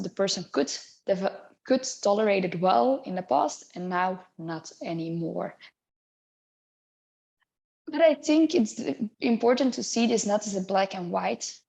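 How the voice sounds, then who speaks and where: A woman in her thirties from Belgium, speaking English with her Belgian accent as a clinician presenting an educational webinar in a measured, explanatory way with occasional hesitations. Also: mid-range voice, creaky, a little mellow. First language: not English.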